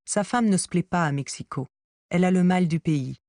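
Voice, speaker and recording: A man giving calm, measured scripted narration for a documentary. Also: high-pitched, dry recording, clean studio sound.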